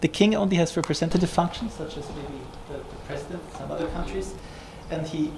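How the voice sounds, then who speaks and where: A man's voice: on the high side, quick pace, creaky. A man from Austria giving an academic lecture as guest speaker, chatty and self-correcting with light humor. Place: large lecture hall. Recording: echoey hall from far off, noisy.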